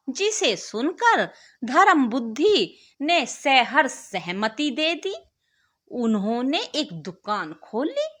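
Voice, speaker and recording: A woman with a high, very bright voice narrates a moral bedtime story for listeners. Her pace is unhurried and deliberate, and her pitch moves in a lively, expressive way as a storyteller's does. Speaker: storyteller and channel host.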